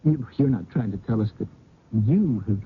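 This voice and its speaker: An actor playing an inquisitive, skeptical young husband in an old-time radio drama, probing hesitantly. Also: male voice, mid-range voice, expressive, moderate pace, natural voice. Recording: muffled phone-like radio recording, faint noise.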